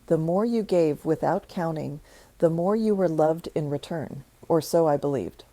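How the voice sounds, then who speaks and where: A woman reading a scripted first-person story in a measured, reflective way. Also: low voice, mellow, no room sound.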